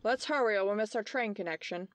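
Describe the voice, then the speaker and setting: A woman's voice: mid-range voice, quick pace, crowd noise, lightly acted. A woman acting in old-time radio style as a determined young detective, polite, formal and precise. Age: young adult.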